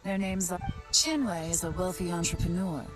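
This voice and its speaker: A woman's voice: lowish voice, moderate pace, natural voice. An actress playing a sly, self-assured young woman agent in a video game, teasing and coolly confident. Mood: businesslike. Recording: music underneath.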